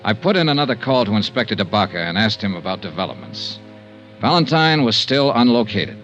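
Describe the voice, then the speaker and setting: An actor playing a world-weary, compassionate insurance investigator, narrating tersely in a 1950s radio drama. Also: male voice, fairly low pitch, a bit bright. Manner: terse hard-boiled narration. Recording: muffled 1950s radio recording with music.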